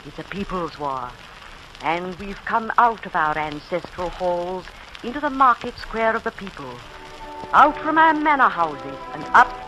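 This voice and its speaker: An actress playing a proud old British aristocrat, giving a stately, rousing speech in 1940s radio style. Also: female voice, on the low side, lively intonation, a little slow, pushed and bright, soft background music, rough recording. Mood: rousing, resolute. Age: older. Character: grand old aristocratic lady, proud, stirred to patriotism.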